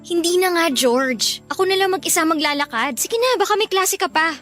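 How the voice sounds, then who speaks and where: A very high, girlish voice plays a young Filipino college woman in a radio drama. She is evasive and easily exasperated, brushing off a suitor. Light music plays behind her. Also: lively intonation, a little fast, a bit bright. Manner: exasperated, dismissive.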